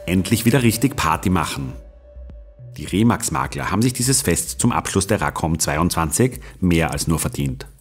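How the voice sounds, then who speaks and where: A man's voice: low-pitched, lively intonation, moderate pace, faint music behind. A man reading scripted voice-over narration for an event report in an upbeat promotional way.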